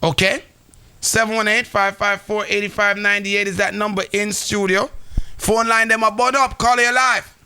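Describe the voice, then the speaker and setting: A man's voice: high-pitched, flat delivery, normal speed, pushed and bright, clean tone. A man hosting a live call-in radio show, talking with callers and addressing listeners.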